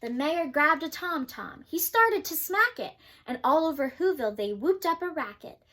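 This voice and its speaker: A woman's voice: high-pitched, lively intonation, normal speed, pushed and bright. A young woman reading a children's picture book aloud, giving the characters their own voices. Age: young adult. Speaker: student reader.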